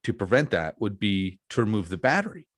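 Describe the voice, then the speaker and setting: Forties male host, lower voice, dry close sound, loose rambling humor. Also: sing-song, a little fast, no echo. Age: 40s. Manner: jokey, self-deprecating, rambling. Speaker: podcast host, wrestler. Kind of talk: casual chat.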